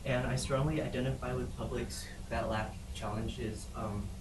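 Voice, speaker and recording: Male student from California, flat intonation, echoey noisy room, off-mic. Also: mid-range voice, moderate pace, far from the mic. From Los Angeles, USA. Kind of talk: self-introduction in class.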